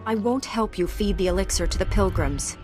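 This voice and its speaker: A woman's voice: mid-range voice, a little fast, a little mellow, faint music behind, close to an everyday voice. An actress playing an earnest, principled young woman knight in a video game, composed in manner. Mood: resolute.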